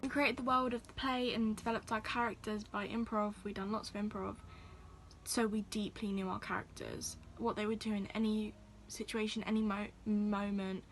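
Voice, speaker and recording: A woman's voice: medium pitch, flat delivery, moderate pace, a little hiss or hum. A young woman, reflecting on her youth theatre work in an interview style. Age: teens. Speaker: youth theatre company member.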